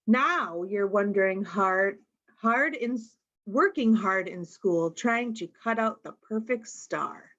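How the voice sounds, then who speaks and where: A woman reading a picture book aloud to young children in an expressive way, pausing to explain the pictures. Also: mid-range voice, dull audio. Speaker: storytime reader.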